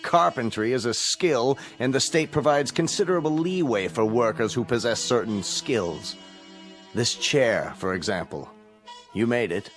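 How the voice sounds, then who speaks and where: Casting: male actor for cruel, smug totalitarian chancellor, radio play; formal, menacing courtesy, average male pitch, light music underneath. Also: animated, measured pace, close to an everyday voice. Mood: condescending. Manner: formal, condescending, mockingly polite, menacing. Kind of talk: radio drama acting.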